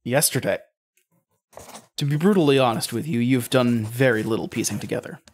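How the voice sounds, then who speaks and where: Man acting a dry sardonic interrogator, mid-pitched, deliberate, audio drama. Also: natural voice. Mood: cool, mocking. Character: cool, probing, sardonic male interrogator.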